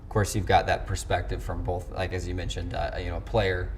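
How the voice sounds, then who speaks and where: A man with a fairly low voice hosts a sports interview in a conversational way. His questions are wordy and tend to ramble. There is clear background noise around him. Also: flat delivery, brisk. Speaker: sports show host interviewing a coach. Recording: clearly noisy background ambience.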